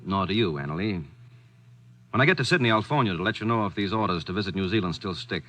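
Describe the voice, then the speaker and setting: An actor playing an earnest, somber American war correspondent and narrator in a 1940s radio drama. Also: male voice, low-pitched, expressive, fast talker, a bit bright. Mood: brisk. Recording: vintage 1940s radio broadcast with music.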